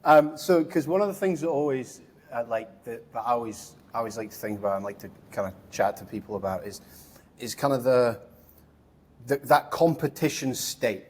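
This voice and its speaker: A man's voice: medium pitch, animated, normal speed, a little mellow, husky. A man hosting a casual interview, asking long, rambling questions with many fillers. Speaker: interview host.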